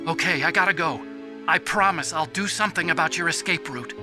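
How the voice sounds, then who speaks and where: Nerdy engineer sidekick for a game, male actor: high boyish voice, earnest, nervous but brave, music underneath. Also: brisk, lightly acted. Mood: resolved, wistful. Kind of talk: dramatic video game cutscene acting. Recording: clear music behind the voice.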